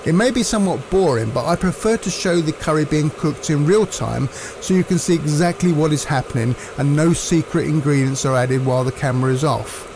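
A man, narrating cooking instructions in a measured, explanatory way. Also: fairly high pitch, background noise. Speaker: cooking presenter.

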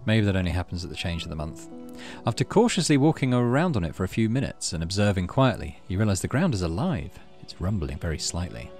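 A man with a low voice hosts a casual video game playthrough. His pitch rises and falls freely as he thinks aloud and reads from the screen. Game music plays clearly behind him. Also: very animated, quick pace, hardly any pauses, a little mellow. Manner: thinks aloud, muttering through choices. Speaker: let's play host. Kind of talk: casual gameplay commentary, reading game text aloud.

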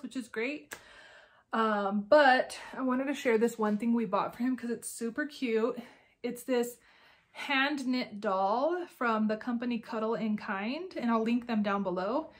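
Congested, chatty young woman. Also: on the high side. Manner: chatty, many "like" fillers. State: congested, nasal; slightly out of breath. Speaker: crafting podcast host. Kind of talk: casual personal vlog chat.

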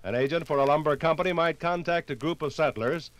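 Male American narrator, vintage 1950s radio history program. Measured, formal scripted read, slightly high register. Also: no room sound.

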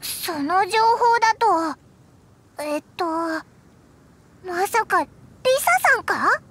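A woman's voice: very high-pitched, expressive, slow, exaggerated voice. A woman voicing a tiny, cheeky fairy companion in an anime game, with a blunt, casual, childlike manner. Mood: puzzled. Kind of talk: anime game character dialogue. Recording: loud music behind voice.

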